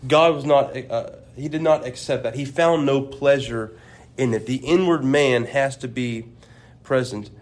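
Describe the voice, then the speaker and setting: A man's voice: mid-range voice, measured pace. Earnest man discussing scripture. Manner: earnest, thoughtful, with many restarts. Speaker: podcast co-host. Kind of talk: casual religious discussion.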